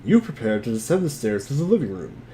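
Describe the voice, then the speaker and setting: Guy reading narration all dramatic, mid pitch, throat kinda worn out, bit of noise behind him. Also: sing-song, quick pace, dark tone, low-quality recording. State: strained, tired throat. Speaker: reader in a group dramatic reading. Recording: faint background noise, room ambience.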